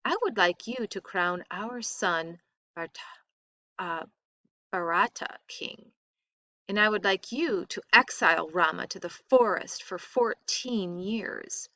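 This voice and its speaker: A woman's voice: medium pitch, slow pace, sharp tone, no echo. A woman voicing a scheming, determined queen in a storybook, insistent and commanding. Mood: calculating.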